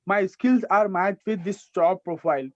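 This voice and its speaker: A man's voice: high voice, moderate pace, no room sound, lo-fi sound. Young Indian man reciting his introduction. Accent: Indian English. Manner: recites rehearsed lines with small restarts. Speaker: student. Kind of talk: practising a self-introduction.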